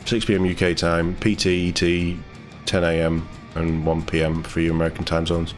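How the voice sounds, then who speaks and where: A man's voice: low-pitched, completely flat delivery, moderate pace, faint music behind. A British man presenting gaming news in a casual, sardonic way, mixing read-out text with his own commentary. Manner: sardonic, sweary asides. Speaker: gaming YouTuber.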